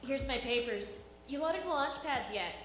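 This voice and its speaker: A woman playing a spirited, independent engineer, brisk, pointed and practical, in a live radio drama. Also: high voice, expressive, over music. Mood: impatient. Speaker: radio theatre actor. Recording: noisy echoey hall, live stage.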